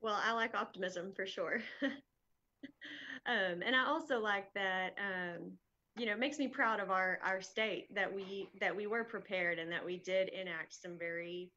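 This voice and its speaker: A woman's voice: mid-range voice, a little slow, pushed and bright, dull audio. A woman interviewing two doctors in a friendly, chatty way, laughing easily between questions. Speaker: chamber of commerce interviewer. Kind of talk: casual video interview.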